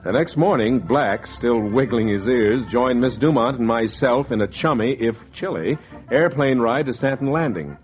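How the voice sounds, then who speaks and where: A cynical, greedy schemer played by a male actor in a 1940s noir radio play. His voice is middling in pitch and very animated, with wry, dramatic phrasing. The old recording sounds dull. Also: normal speed, music in the background, close to an everyday voice. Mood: smug.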